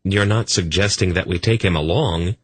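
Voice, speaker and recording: A man's voice: deep voice, normal speed, fairly bright tone, husky, no echo, voice pushed up. A man voicing a young male hero who sounds dismayed and reluctant in an audiobook.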